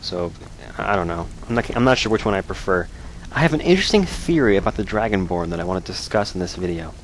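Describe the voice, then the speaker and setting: A man's voice: sits low, animated, quick pace, slight background noise, rough recording. A man hosting a let's play, giving casual, wry, rambling commentary. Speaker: gaming video host.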